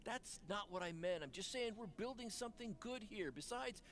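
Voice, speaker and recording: A small-time male wrestling promoter in a game story scene. His voice sits a little high, and he sounds easygoing and conciliatory, like a mentor keeping the peace. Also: lively intonation, moderate pace, fairly bright tone. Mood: placating. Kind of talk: sports video game cutscene acting.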